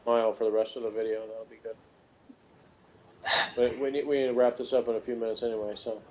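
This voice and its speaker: A man's voice: medium pitch, level tone, fast, dark tone. A man co-hosting a review in casual chat, with a wry, joking way of talking. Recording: slightly dull, lo-fi sound.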